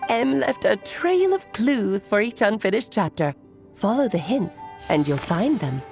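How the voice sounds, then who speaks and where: A woman's voice: medium pitch, big pitch swings, a little slow, darkish tone, soft background music. A woman voicing a playful, theatrical adult mage in a video game, with a genteel, teasing manner. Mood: cryptic, instructive. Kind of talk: video game character voice acting.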